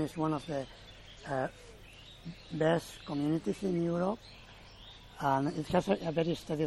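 Man, slightly high voice; halting Q&A speaker, faint outdoor noise. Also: darkish tone, low-quality recording. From Spain. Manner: halting, self-correcting, explanatory. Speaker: college representative. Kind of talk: informal Q&A presentation.

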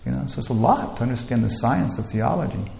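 A man gives a loose, rambling talk on scripture, leaning on fillers and starting phrases over. His voice has a gravelly edge. The sound is roomy, with a long hall echo. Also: mid-range voice, animated, quick pace, darkish tone, slight background noise. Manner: rambling, many "you know" fillers and restarts. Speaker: teacher leading a scripture class. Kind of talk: informal spiritual lecture.

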